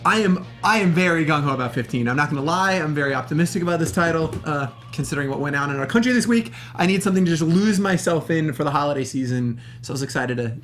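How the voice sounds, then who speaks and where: A man with an American accent co-hosting a casual panel, talking emphatically in halting, rephrased bursts. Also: a little high, expressive, fairly bright tone, soft background music. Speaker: co-host of a movie review show.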